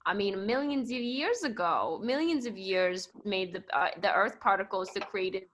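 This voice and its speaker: A woman's voice: medium pitch, expressive, moderate pace, fairly bright tone, slight background noise, natural voice. A woman playing a doting, wordy mother who fusses over everyone in an improvised comedy scene. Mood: gushing. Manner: gushing, wordy, easily exasperated.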